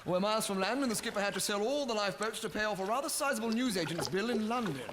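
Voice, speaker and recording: An actor playing a nervous, fawning first officer who stammers and flusters in a live radio comedy. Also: male voice, high-pitched, animated, fast, a bit bright, a little hiss or hum. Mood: placating.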